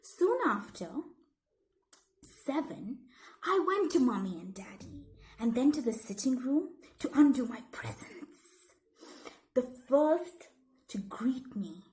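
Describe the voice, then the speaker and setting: A woman voicing an eager, thoughtful thirteen-year-old schoolgirl in an expressive, deliberate read-aloud of her diary. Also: high voice, pitched above the performer's own voice, full character voice, low-quality recording. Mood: excited, delighted.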